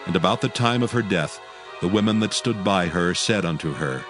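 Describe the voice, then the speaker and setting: A man narrating a dramatized Bible in a measured, formal reading style. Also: low voice, animated, music in the background.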